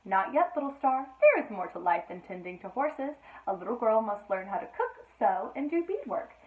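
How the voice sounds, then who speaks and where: A woman voicing a stern but caring father and chief in a children's storybook, measured and instructive. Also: fairly high pitch, big pitch swings, recorded from a distance. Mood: firm, instructive. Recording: roomy, echoey, narrowband sound.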